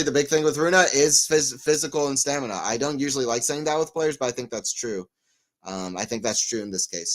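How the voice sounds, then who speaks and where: Casual male tennis stream commentator. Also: medium pitch. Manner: excitable on rallies, rambling and self-mocking between points. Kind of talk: live play-by-play and casual chat.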